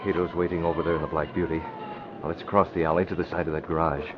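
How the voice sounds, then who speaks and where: An actor playing a resolute masked crime-fighter and publisher, firm and commanding, in 1940s radio drama style. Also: male voice, rumbling low, flat delivery, fast. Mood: urgent, commanding. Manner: firm, commanding, clipped orders. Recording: muffled, hissy vintage radio broadcast.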